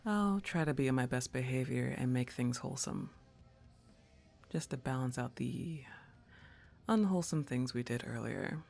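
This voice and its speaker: A woman playing a laid-back, teasing young goth roommate in an intimate ASMR roleplay, talking in an unhurried, conversational way to her crush. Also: a bass voice, animated, creaky, darkish tone, soft background music, exaggerated voice. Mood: soft, teasing, affectionate.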